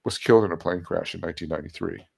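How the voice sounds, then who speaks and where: Man in his 70s, deep voice, gravelly, chatty and self-deprecating, interview guest. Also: racing. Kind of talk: casual storytelling interview.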